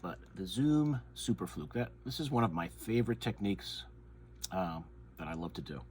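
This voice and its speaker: A man's voice: on the low side, sing-song, moderate pace. A man hosting his own fishing channel, talking casually and a bit nasally through a head cold, rambling and repeating himself with lots of ums. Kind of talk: casual show-and-tell chat. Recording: noise with crinkling plastic bags. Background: crinkling soft-plastic bait bags.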